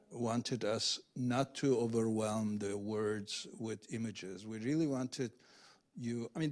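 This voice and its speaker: A man speaking as a literary translator at an event, explaining in a halting, searching, scholarly way without a script. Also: medium pitch. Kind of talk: unscripted explanation at a reading Q&A.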